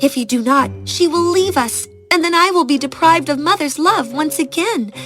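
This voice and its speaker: Woman playing a high-voiced, pleading young princess. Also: animated, normal speed, fairly bright tone, faint music behind. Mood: earnest pleading. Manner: earnest, emotional. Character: sweet, pleading young princess, devoted and girlish. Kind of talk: acted fairy-tale storybook dialogue.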